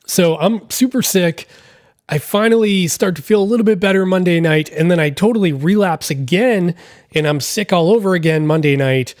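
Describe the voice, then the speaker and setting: A man's voice: a little high, darkish tone, dry recording, clean studio sound. A man in his thirties with a scratchy voice from being sick, hosting a casual solo podcast from a hotel room in a rambling, apologetic way. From Massachusetts, United States. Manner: rambling, self-deprecating, apologetic. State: scratchy voice from illness. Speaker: podcast host.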